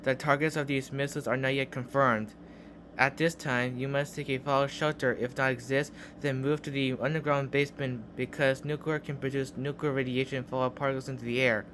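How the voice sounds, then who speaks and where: A man's voice: mid-range voice, little pitch movement, fairly quick, fairly bright tone, a little hiss or hum. A man delivers a scripted mock emergency broadcast in a formal, official announcer's manner.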